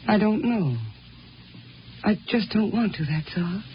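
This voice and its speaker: A woman acting a dreamy, hesitant Martian wife in an old radio play. She speaks slowly and wistfully, as if longing for someone else. The recording is dull, with clear background noise. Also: mid-range voice, lightly acted. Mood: distracted, evasive. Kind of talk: 1950s radio drama acting.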